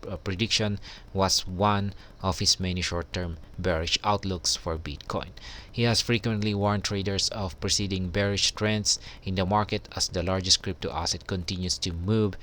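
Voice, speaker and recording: A man with a Filipino accent presenting a news explainer as a vlogger, speaking politely and haltingly as he moves between reading out and commenting. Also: sits low, fairly even intonation, fairly bright tone. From Philippines. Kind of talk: news explainer, alternating read-out article and commentary.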